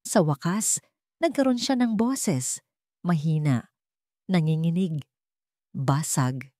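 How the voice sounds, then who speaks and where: A woman with a Filipino accent narrating a scripted dramatic story in a measured, solemn way. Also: deep voice, expressive, mellow, dry recording, clean studio sound.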